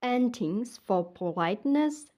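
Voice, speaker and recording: A woman's voice: a little high, animated, slow pace, dark tone. A young woman from Myanmar with a Burmese accent, teaching her language in a casual lesson and patiently saying each word twice.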